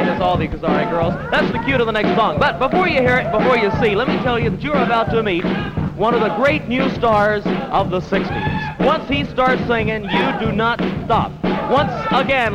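A man's voice: very high-pitched, poor audio. A man hosting a 1960s television music show, introducing acts like a showman. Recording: loud music and crowd behind voice. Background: loud music, cheering studio crowd.

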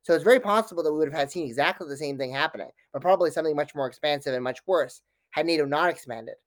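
A man's voice: fairly high pitch, rapid-fire, no echo. A man speaking as an expert interview guest, giving analytical answers in long, detailed, emphatic sentences. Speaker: academic guest, foreign policy expert.

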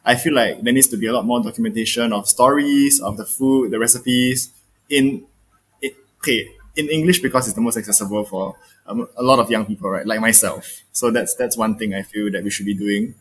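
Young man, guest, low husky voice, earnest, repeats himself. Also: brisk, poor audio. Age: young adult. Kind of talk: casual panel chat.

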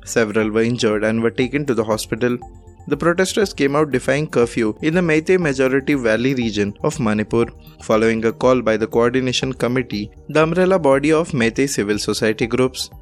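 A male news presenter with an Indian accent reads from a script in a formal, measured manner. His voice sits at a medium pitch, with music playing clearly behind him.

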